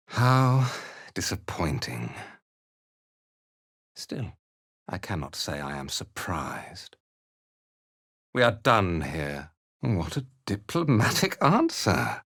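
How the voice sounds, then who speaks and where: Haughty, slow-spoken cult commander. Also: male voice, sits low, a bit bright, no echo, studio quality, exaggerated voice. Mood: sardonic contempt. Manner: disdainful, measured, theatrical, imperious. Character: cold, haughty, zealous male cult commander. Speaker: villainous military commander. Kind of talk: fantasy video game dialogue.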